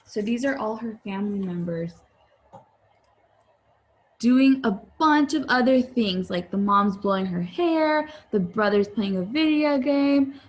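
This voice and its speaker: A woman teacher reading a picture book aloud to young viewers, with deliberate, expressive phrasing. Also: fairly high pitch. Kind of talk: children's read-aloud, addressing young viewers.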